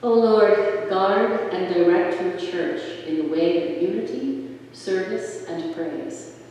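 A lay reader leading prayers of intercession in a church service, reading slowly with slips and self-corrections. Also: medium pitch, a little mellow. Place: large church with stone walls. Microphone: distant room mic. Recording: echoey church from far off.

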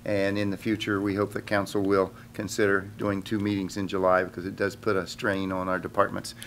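A man's voice: low-pitched, monotone, a little fast, fairly bright tone, slight background noise. A middle-aged man making formal remarks as a council member into a desk microphone in a chamber. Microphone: gooseneck desk microphone.

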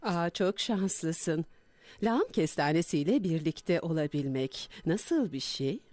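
Slow-spoken, earnest cartoon worker. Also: female voice, fairly low pitch, lively intonation, a little mellow, no room sound. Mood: dreamy admiration. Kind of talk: dubbed cartoon acting.

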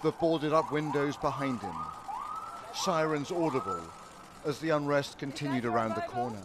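A man voicing a news report as correspondent, measured and grave in delivery. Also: mid-range voice. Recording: loud crowd and street noise behind.

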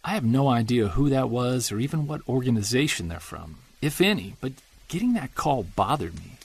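Zmęczony badacz w średnim wieku, grany przez aktora, opowiada szczerze i z niepokojem, głos szorstki i lekko zachrypnięty. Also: male voice, mid-range voice, very animated, moderate pace, vocal fry, husky, no room sound, full character voice. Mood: troubled. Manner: confessional, earnest, quietly troubled. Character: weary middle-aged male Bigfoot investigator recording audio diary. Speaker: narrator. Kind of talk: scripted audio drama narration.

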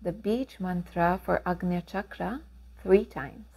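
Slow-speaking woman guiding meditation. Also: lowish voice, gravelly, breathy edge. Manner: calm, unhurried, gently instructive with long pauses. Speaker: meditation guide. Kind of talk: guided meditation narration.

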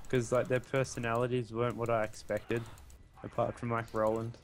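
A man's voice: mid-range voice, flat delivery, moderate pace, slight background noise. A young man chatting during co-op gameplay, rambling and full of "like". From Australia. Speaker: co-op gaming partner. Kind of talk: casual co-op gameplay chat.

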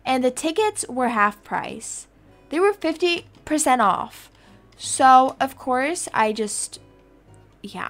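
A woman playing a casual, easily alarmed adoptive mother in a video game roleplay, chattily thinking aloud. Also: high voice. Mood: relieved, chatty.

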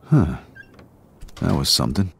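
An actor playing a cool, laconic young American gangster and war veteran, understated and dry in manner. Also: male voice, rumbling low, sing-song, unhurried, vocal fry, a bit bright, a little hiss or hum, exaggerated voice. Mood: awkward, amused.

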